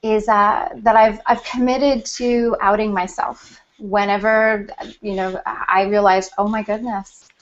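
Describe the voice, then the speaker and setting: Excitable woman on a lo-fi video call. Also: mid-range voice. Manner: excitable, laughing, quick bursts. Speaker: panel host. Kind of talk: casual panel chat on a video call. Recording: dull lo-fi video call audio.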